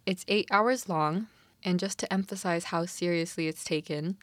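Low-pitched young Canadian woman chatting casually. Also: moderate pace, dry recording. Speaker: podcast co-host. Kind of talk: casual chat discussing a TV drama.

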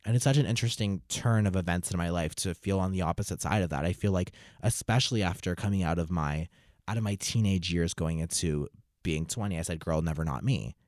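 A nineteen-year-old man hosting his own podcast, talking alone in an animated, theatrical way with sudden loud bursts of play-acting. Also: deep voice, fairly quick, dry recording, clean studio sound. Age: 19, nearly 20. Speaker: podcast host. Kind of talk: solo podcast monologue.

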